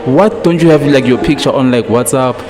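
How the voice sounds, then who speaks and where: A man's voice: mid-range voice, fairly quick, over music. A man hosting a casual interview, chatty and jokey, mixing English with Setswana. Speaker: host.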